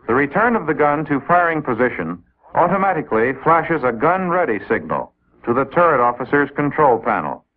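A man's voice: fairly high pitch, sing-song, a little fast. An American man narrating a 1950s military training film in a methodical, explanatory manner, addressing trainees directly. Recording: old 1950s film soundtrack, tinny narrow band.

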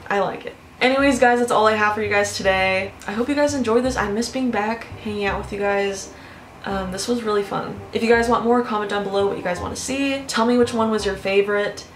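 A young woman in her twenties chats to her camera from a bedroom, animated and casual, swearing and laughing as she goes. Her voice sits at a middling pitch. The room gives it a noticeable echo over a faint background hiss. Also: fairly quick. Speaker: YouTuber. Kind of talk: casual vlog storytelling. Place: bedroom with fairy lights.

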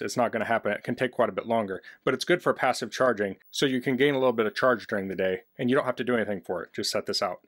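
A man's voice: medium pitch, dry recording, studio quality. A man casually demonstrating a product in a plain-spoken, practical, explanatory way, recorded indoors. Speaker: product reviewer. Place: basement, indoors.

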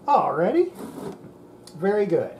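An older radio repairer talks casually through hands-on work in a workshop. The voice sits at a middling pitch but moves up and down a great deal, in a folksy way. The camera audio carries clear noise and clicks. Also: very animated, slow pace, a little mellow. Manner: folksy, talking while working. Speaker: radio repairer presenting a restoration. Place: indoor workshop.

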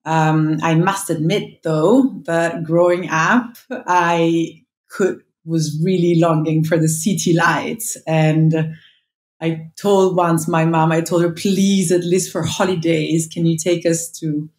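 A low-pitched woman with an Italian accent speaks reflectively, often restarting her sentences. She sounds like she is on a video call with earbuds in a roomy home room. She is in her thirties. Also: level tone, slow, a little mellow. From northern Italy. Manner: reflective, many restarts and fillers. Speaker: guest. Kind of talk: casual interview chat.

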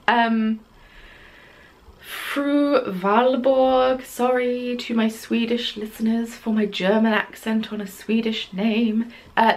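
A young woman hosting a knitting podcast, chatting casually from her craft room in a rambling, self-deprecating way with plenty of ums. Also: a little high. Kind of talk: casual chat. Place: small craft room at home, yarn on the wall behind.

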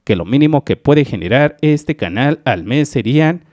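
Man from Mexico, explainer voice-over; animated pitch, dry close sound, methodical walkthrough. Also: normal speed, dry recording. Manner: methodical, addresses viewers as dear friends. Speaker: YouTube channel analyst. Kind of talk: screen-recorded explainer voice-over.

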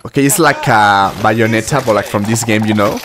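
A man in his twenties, a native Spanish speaker, hosting a casual reaction vlog in an enthusiastic, halting, informal way. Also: fairly low pitch, background noise. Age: 20s. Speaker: host of a reaction channel. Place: small furnished room at home. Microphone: close desk microphone, headphones worn.

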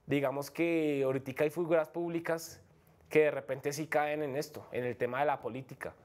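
A man's voice: on the high side, steady tone, normal speed, darkish tone. A young Colombian man with a Bogotá accent talks earnestly and frankly, his slightly raised voice stumbling over restarts and fillers. He sits as a guest on a studio set, picked up by a lapel mic. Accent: Bogotá Colombian Spanish. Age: young adult. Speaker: MMA fighter guest. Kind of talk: casual interview talk.

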